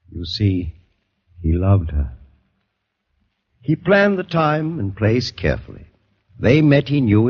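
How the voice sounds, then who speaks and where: A sinister, courteous doctor, swooping delivery. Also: male voice, lowish voice, vocal fry, breathy edge, exaggerated voice. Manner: measured, theatrical, formally polite. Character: courteous, sinister older doctor confessing a crime obliquely. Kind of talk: 1940s radio drama acting. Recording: muffled vintage 1940s radio recording.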